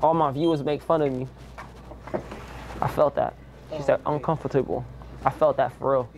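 A man's voice: mid-range voice, steady tone, crowd noise. A young man in his twenties with a Haitian accent, playfully talking his way through a prank in a liquor store and parking lot. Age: 20s. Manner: fast, playful, mock-innocent. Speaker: prank content creator. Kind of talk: casual vlog talk to camera. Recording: noisy store and street ambience.

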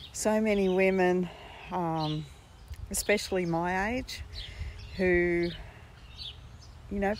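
A woman in her sixties with a husky voice, chatting slowly and warmly in a casual live stream from a sunny park, speaking into a phone held at arm's length. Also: on the low side. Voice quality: husky today. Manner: slow, heartfelt, gently upbeat. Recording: faint outdoor noise with birds.

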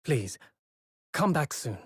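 An earnest young lawyer in a video game. He speaks slowly and haltingly with heartfelt intensity, his mid-pitched voice husky and dry. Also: big pitch swings, dry recording, full character voice. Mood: heartfelt, pleading.

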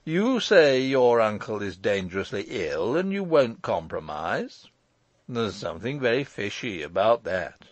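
A man voicing a brash, teasing, self-assured lawyer in an audiobook, with a forceful, jaunty, mock-pompous delivery. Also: on the low side, big pitch swings, a little slow, no room sound. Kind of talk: audiobook character voice.

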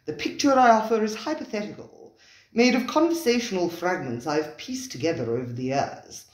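A reader playing an effusive gourmet gentleman, echoey room. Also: medium pitch, brisk, lightly acted. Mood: wistful. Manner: formal, persuasive, effusive speech. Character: eager, solemn male gourmet devoted to a restaurant.

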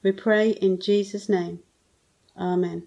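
A woman in her fifties saying a measured prayer for a church service, recorded indoors at home. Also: medium pitch, fairly even intonation, vocal fry, dark tone. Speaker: church member leading prayer.

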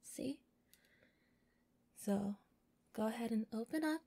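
A shy yandere young woman, acted by a woman in an intimate audio roleplay. Her voice is fairly high and close, with a dry sound. She speaks very slowly and softly, doting on her captive with a possessive sweetness. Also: no room sound. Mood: doting, gentle. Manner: soft, breathy, often whispering, giggly, stammering when flustered.

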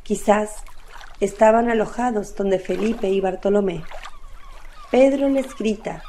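A woman's voice: medium pitch, unhurried, warm tone, a little hiss or hum. A woman narrating a literary radio play from a script.

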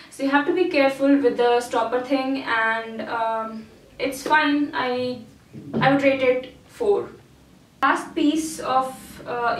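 A woman in her twenties with a casual, chatty way of talking, full of ums and restarts, reviewing her purchases to camera indoors. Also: high voice. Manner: chatty, hesitant, lots of um and I mean. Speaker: beauty vlogger. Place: small indoor room. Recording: roomy echo, mic at a distance.

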